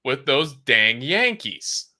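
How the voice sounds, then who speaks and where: Very bright-voiced male sports co-commentator. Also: medium pitch, very animated, slow pace. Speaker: color commentator. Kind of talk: casual sports banter.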